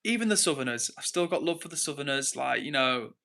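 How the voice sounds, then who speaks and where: A man's voice: medium pitch, lively intonation, quick pace, creaky, sharp tone. A man from England with an English accent, hosting a casual livestream, reading out comments and rambling informally as he thinks aloud. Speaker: livestream host.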